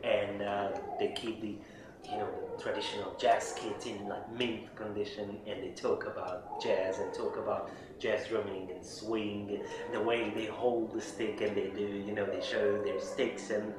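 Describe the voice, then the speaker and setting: Man from Hungary, non-native English, lowish voice, keen and repetitive talker, heard from far off in a loud, echoey hall. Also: little pitch movement, unhurried, few pauses, background noise. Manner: enthusiastic, repeats words while searching for them. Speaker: interviewee drummer. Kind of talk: casual interview answers. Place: busy drum fair hall.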